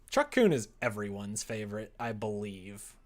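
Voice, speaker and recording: A man's voice: fairly low pitch, very animated, measured pace. A man live-streaming casual, playful chat as he writes and codes, sometimes mumbling to himself. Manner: playful, joking asides. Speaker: live streamer making a visual novel. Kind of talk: casual chat while writing and coding.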